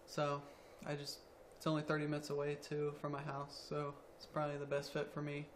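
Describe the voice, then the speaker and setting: Soft-spoken young man, flat delivery. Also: fairly high pitch, a little fast, slight background noise. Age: around 20. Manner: quiet, soft-spoken, hesitant with ums. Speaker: college student athlete. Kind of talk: interview answers.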